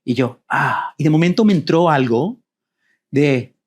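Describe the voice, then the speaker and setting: A middle-aged man from Rico, a guest telling his life story in a reflective, measured way. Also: on the high side, expressive. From Puerto Rico. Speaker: guest, co-author. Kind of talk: personal storytelling in conversation.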